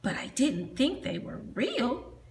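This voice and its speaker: Lady doing an eager lil swamp monster boy, slow, kinda high. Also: huge pitch swings, a little hiss or hum, heavily acted.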